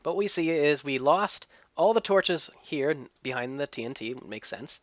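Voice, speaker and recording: A man's voice: a little high, expressive, normal speed, dry recording. A man hosting a let's play, explaining what he builds and tests as he goes, his voice a little stuffy from a cold. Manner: explanatory, thinking aloud. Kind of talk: gameplay commentary and tutorial.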